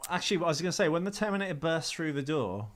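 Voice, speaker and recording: A man's voice: a little high, expressive, racing, a bit bright. A man co-hosting a podcast, chatting casually and sarcastically about films, rambling and swearing. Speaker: podcast co-host. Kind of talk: casual film chat.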